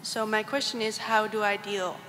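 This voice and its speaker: A young woman student reading a prepared question in measured, polite English. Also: medium pitch, flat delivery, fairly bright tone. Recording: long gymnasium reverb, faint hiss.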